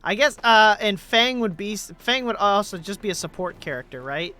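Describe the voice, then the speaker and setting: A man's voice: sits high, expressive, moderate pace, pushed and bright. A man streaming a game live, rambling and joking with frequent swearing. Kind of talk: casual gaming livestream commentary. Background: game music.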